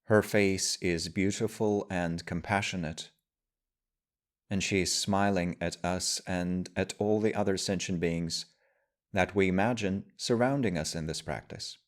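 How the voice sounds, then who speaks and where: A man guiding a meditation as a teacher, speaking in measured, deliberate phrases with pauses. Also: sits low, steady tone. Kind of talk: guided meditation, slow spoken instruction.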